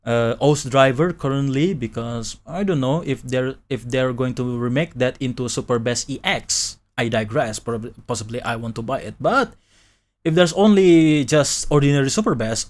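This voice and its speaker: A man from Indonesia, chatting casually on a live stream, rambling and restarting his sentences and laughing often. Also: mid-range voice. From Indonesia, Malay from Sumatra. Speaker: VTuber streamer.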